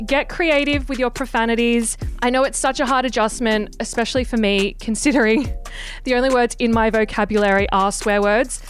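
Young woman podcaster, sharp-tongued and sarcastic, slightly high voice, faint music under her. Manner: sassy, sarcastic and irreverent, swears freely. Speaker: podcast host. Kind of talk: casual gossip podcast monologue.

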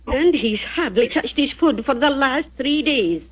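A woman's voice: high-pitched, lively intonation, measured pace. An actress playing a motherly, respectful landlady with a Scottish accent in a 1940s radio drama. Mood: worried. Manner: motherly, deferential. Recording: dull old 1940s radio recording.

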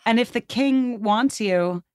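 A woman's voice: a little high, takes it slowly, darkish tone, smooth, no room sound. A woman guest talking at length in an emphatic, rambling, conversational way, as if telling a story from history. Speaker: podcast guest.

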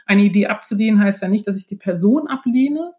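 Woman podcast guest, chatty and explanatory, medium pitched voice, just talking from experience. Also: lively intonation, brisk, warm tone. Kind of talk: informal interview, explaining from experience.

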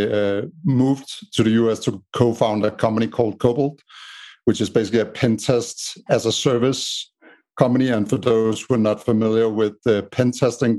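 A man from Denmark with a Danish accent, a startup co-founder answering interview questions in a measured way with many "uh" fillers. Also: lowish voice, dark tone. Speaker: podcast guest, startup co-founder.

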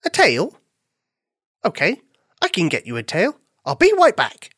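A woman voicing a small, earnest young male bunny in a children's story, anxious and rushed, with a pleading manner. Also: on the low side, huge pitch swings, a bit bright, slightly rough, no echo, clean studio sound, voice pushed up, exaggerated voice. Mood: eager.

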